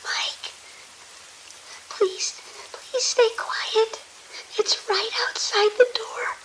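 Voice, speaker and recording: An actress playing a terrified, trapped female doctor in a horror audio drama, halting, pleading and panicked. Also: very high voice, steady tone, whispered, exaggerated voice, rough recording. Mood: hushed terror. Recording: faint hiss and clicks.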